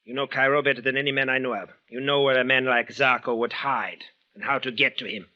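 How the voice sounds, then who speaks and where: A man's voice: medium pitch, steady tone, brisk, close to an everyday voice. An actor playing a big, vengeful man from Damascus in an old radio drama, speaking formal, stilted English. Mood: scheming, persuasive. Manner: formal, stilted foreigner's phrasing without contractions. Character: tall, big, menacing, vengeful bandaged foreign man from Damascus. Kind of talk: 1940s-50s radio drama acting. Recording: dull old radio recording.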